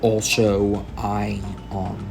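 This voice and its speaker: Tired young guy going over game patch notes, low gravelly voice, kinda flat, lots of ums, game music going behind him. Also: extremely slow. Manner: hesitant, frequent ums. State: tired, coming down with a cold. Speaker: gaming YouTuber. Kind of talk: gameplay commentary on patch notes.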